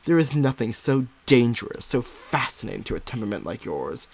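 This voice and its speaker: A man's voice: medium pitch, sing-song, a little fast, vocal fry, darkish tone, slightly rough, dry recording, exaggerated voice. A man voicing a patronising Victorian physician husband, fond but stern, in condescending, emphatic audiobook dialogue. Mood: stern, pleading. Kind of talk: dramatic audiobook character dialogue.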